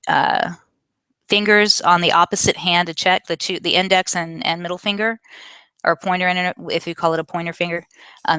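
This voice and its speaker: A woman's voice: on the low side, a bit bright, clear, resonant tone, dry recording. A woman in her forties answering viewer questions in a casual, candid and explanatory way, often correcting herself as she goes. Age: 40s.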